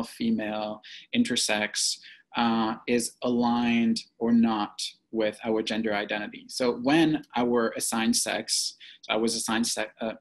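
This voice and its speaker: Creaky-voiced man. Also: medium pitch, rough recording. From French-speaking Belgium. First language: French. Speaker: podcast guest, psychotherapist. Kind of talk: reflective interview answers.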